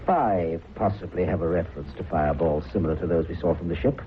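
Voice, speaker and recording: An actor playing a keen, curious reporter and husband in a vintage radio drama. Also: male voice, low-pitched, fast talker, close to an everyday voice. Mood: absorbed, intrigued. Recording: noisy, narrow-band old radio recording.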